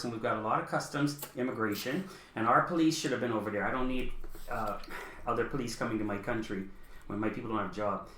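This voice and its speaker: A man's voice: mid-range voice, a bit bright. Blunt, insistent man in echoey room. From the Bahamas, Canadian Bahamian. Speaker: talk show panelist. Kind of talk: opinionated political discussion.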